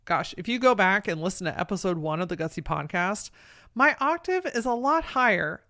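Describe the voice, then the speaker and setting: A woman hosting a podcast, talking frankly and encouragingly in a casual way with a deep voice. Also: animated, brisk, bright, forceful tone. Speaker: podcast host. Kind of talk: solo podcast talk, motivational.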